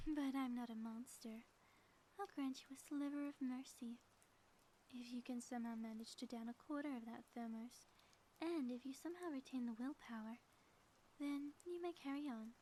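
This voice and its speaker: Woman acting a high-voiced, grandiose, gloating villainess. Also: normal speed, dark tone, slight background noise, lightly acted, poor audio. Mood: smug, gloating. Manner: grandiose, florid, melodramatic phrasing. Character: theatrical gloating ex-villain woman, secretly affectionate spouse. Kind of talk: scripted ASMR audio roleplay.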